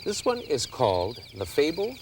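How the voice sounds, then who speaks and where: A man's voice: on the high side, huge pitch swings, moderate pace, a little mellow. A man playing a grown-up who explains fables to children in a folksy way in a scripted children's video. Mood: easygoing. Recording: distant, noisy with chirping crickets.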